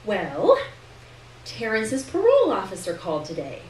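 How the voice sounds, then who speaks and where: A woman's voice: medium pitch, huge pitch swings, moderate pace, a little mellow. A woman voicing a cajoling mother in her forties in a story reading. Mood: nervous, hesitant. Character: mother in her forties, cajoling, giggly, uneasy around her daughter. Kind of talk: literary story reading, character dialogue. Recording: roomy distant live recording, noticeable hiss.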